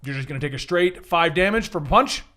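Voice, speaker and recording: Animated high-pitched man running a game. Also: fast, a little hiss or hum. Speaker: game master. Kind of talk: running a tabletop superhero game, casual banter.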